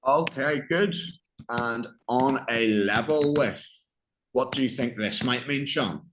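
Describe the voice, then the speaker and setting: Slow, patient Northern Irish man. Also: mid-range voice, a bit bright, a little hiss or hum. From Northern Ireland. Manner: patient, explains slowly with examples and questions. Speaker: English teacher. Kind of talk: one-on-one English lesson.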